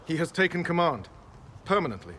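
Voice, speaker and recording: An actor playing a dutiful, frustrated knight in a video game, speaking formally and earnestly. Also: male voice, a little high, big pitch swings, fairly quick, sharp tone, a little hiss or hum. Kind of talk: video game dialogue acting.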